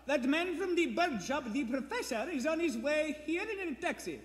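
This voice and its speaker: Man acting a very high-pitched excitable detective. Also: moderate pace. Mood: brisk, eager. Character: earnest, excitable Scotland Yard detective raising the alarm. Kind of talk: 1940s radio play acting. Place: theater stage in front of an audience. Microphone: standing stage microphone. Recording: live theater stage, long reverb, music behind.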